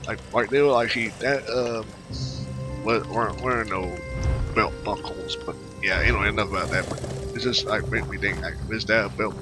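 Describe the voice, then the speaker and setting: A man's voice: on the low side, moderate pace, music in the background. A man giving casual, rambling commentary as he plays a video game, often repeating himself.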